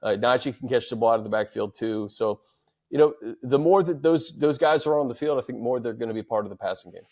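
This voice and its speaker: A man's voice: medium pitch, quick pace, a little mellow. An American man hosting a casual sports chat, fielding fan questions and adding his own take. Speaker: sports show host reading fan questions.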